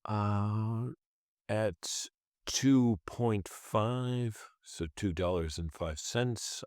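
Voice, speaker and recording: A man's voice: low voice, a little mellow, dry recording, clean studio sound. A man hosting a stock trading channel, rambling through his trades and correcting himself as he goes, a bit congested from a cold. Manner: rambling, self-correcting, thinks aloud. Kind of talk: casual screen-share commentary on trades.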